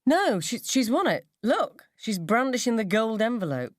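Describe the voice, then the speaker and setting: Female reader as blunt, sardonic schoolgirl's mother for radio story, wide pitch swings, dry sound. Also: big pitch swings, normal speed, dry recording. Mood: doubtful. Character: wry, blunt, resentful mother of a rebellious daughter.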